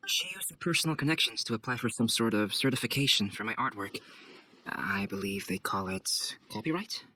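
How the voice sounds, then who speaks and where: A man voicing a calm, reserved young artist and scholar in a video game, speaking in a measured, formal, composed way. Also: fairly low pitch, slight background noise. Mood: composed, reflective. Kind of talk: game cutscene voice acting.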